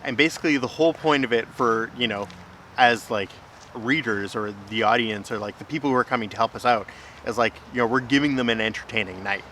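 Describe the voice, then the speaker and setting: A man with a bright, forward voice at a middle pitch talks casually as a vlog host. He explains in a conversational way, with faint city noise behind him. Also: brisk. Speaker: host, filmmaker.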